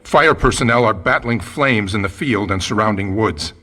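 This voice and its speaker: Forceful, husky news reporter. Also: male voice, fairly low pitch, soft background music. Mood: grave, alert. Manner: formal, measured on-the-scene reporting. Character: male radio news reporter, urgent, grave eyewitness. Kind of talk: scripted radio drama news report.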